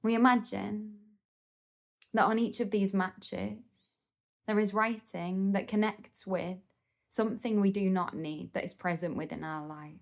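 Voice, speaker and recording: A woman leading a guided meditation in a gentle, soothing, unhurried manner with pauses between phrases. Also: medium pitch, steady tone, vocal fry.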